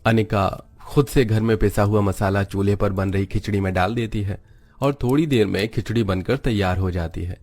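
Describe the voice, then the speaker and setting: A man's voice: lowish voice, fairly even intonation, moderate pace, darkish tone. A man narrating a Hindi cartoon story in a scripted storytelling style.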